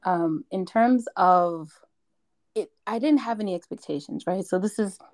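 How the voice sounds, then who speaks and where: A woman from New York, a podcast guest, chatting in a raspy, strained voice that is hoarse from yelling, quick to break off and correct herself. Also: mid-range voice, no room sound. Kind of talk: casual podcast interview.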